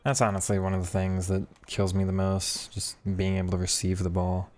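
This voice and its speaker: A hoarse young man with a very deep voice talks through a football video game in loose, casual commentary. He muses on tactics and mutters quick reactions to each pass and touch. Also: completely flat delivery, a little mellow. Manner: thinking aloud, reacting to play. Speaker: gaming streamer.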